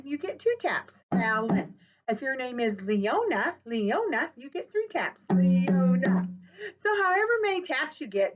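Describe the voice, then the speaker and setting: An older woman reading a picture book and talking warmly to young children at a virtual storytime. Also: sits high, dull audio. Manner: animated and encouraging, gasps with delight, sings and chants rhymes. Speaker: children's librarian. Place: small office with window blinds, indoors.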